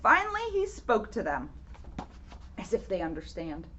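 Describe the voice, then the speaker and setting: A woman's voice: fairly low pitch, wildly swooping pitch, moderate pace, sharp tone, muffled recording, slight background noise. A woman reading a children's storybook aloud with playful, dramatic emphasis and casual asides.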